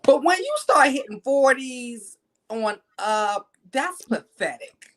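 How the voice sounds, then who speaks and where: A woman's voice: sits high, expressive, takes it slowly. A woman, a regular panelist on a casual live-stream chat, giving frank opinions. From New York.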